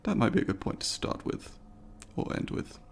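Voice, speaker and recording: A man's voice: moderate pace, a little hiss or hum, lightly acted. A man voicing a polite, eager alien student in an ASMR roleplay, speaking formally and precisely to the listener in an inquisitive, apologetic way. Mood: friendly, brisk.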